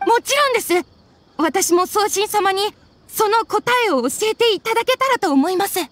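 High-voiced, earnest young woman. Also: animated, moderate pace, dry recording. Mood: wistful, earnest. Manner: polite, soft-spoken formal phrasing. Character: earnest, polite young woman grieving her teacher. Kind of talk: Japanese anime game voice acting. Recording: studio voice over game music.

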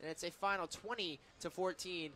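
An American man doing live football play-by-play on the radio, with a very high, bright voice. His delivery is excitable and emphatic, the classic announcer following every snap. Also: moderate pace, a little hiss or hum. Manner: excitable, builds to emphatic calls on big plays.

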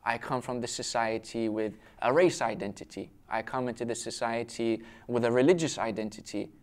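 A man's voice: medium pitch, steady tone, fairly quick. Earnest young man raised in Syria and Britain. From raised in Syria until twelve, then the UK. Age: young adult. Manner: earnest, self-questioning, frequent rhetorical questions. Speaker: panel discussion participant. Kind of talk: casual round-table discussion, personal reflection. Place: indoor restaurant-style set with brick walls, seated at table. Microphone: lapel mic.

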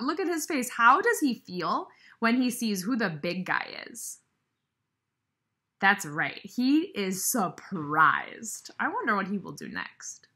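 A woman's voice: medium pitch, bright, forceful tone, husky. A woman, reading and asking questions as a kindergarten teacher. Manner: instructional, questioning, addressing children directly. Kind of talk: read-aloud lesson for young children. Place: indoor room with brick wall, by a window.

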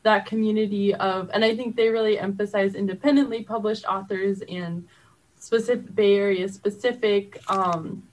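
A woman's voice: mid-range voice, fairly even intonation, normal speed, rough recording. A woman speaking casually and conversationally as a guest in an interview.

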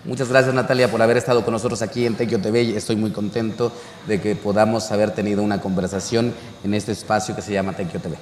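A man in his thirties from Mexico hosting a relaxed interview, talking with animated gestures in a cultural center room. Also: on the low side, fast, a little hiss or hum. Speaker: show host. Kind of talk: conversational interview. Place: cultural center courtyard, indoors. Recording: echoey room with long reverb.

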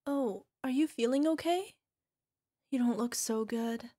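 A woman voicing a possessive young yandere wife who nurses her sick husband, sweetly coaxing with a quiet edge of menace. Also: on the high side, measured pace, dry recording, studio quality. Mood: doting. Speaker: voice actress. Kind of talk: ASMR roleplay audio drama.

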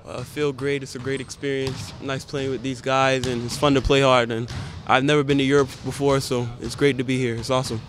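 A teenage basketball prospect from the Bahamas, answering interview questions in a calm, even way into a handheld mic. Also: male voice, mid-range voice, a little fast, a little hiss or hum. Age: teens. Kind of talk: post-game press interview. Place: indoor camp media backdrop. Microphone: handheld reporter mic held close.

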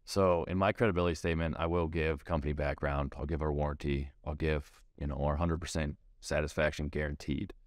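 A man's voice: rumbling low, level tone, a little fast, hardly any pauses, no room sound, clean studio sound. A man in his thirties, a plumbing salesperson, explaining his work in a practical, detailed way and often repeating words as he thinks. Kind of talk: casual interview answers. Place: TV studio set.

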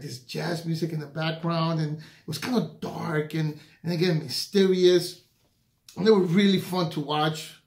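A man in his forties hosting a children's read-aloud, explaining informally and haltingly to camera. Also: on the high side, roomy sound. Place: small home kitchen, indoors.

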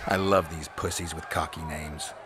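An actor playing a stoic, gruff monster hunter in a video game, terse and deadpan. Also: male voice, rumbling low, moderate pace, a crowd in the background, background noise. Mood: dry.

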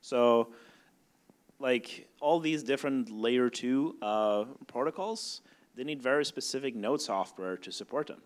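A young man on a conference panel making technical points informally in halting, restarted phrases. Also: medium pitch. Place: conference room stage before a seated audience. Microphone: handheld microphone.

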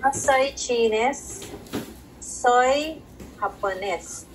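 Woman, language student, very slow, careful, unsure, video call, faint noise. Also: fairly high pitch. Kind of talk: practicing phrases in a class.

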